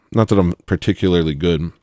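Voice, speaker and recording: A man with a rough voice, chatting casually and rambling self-deprecatingly while making music on a stream. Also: low voice, warm tone, no room sound, clean studio sound.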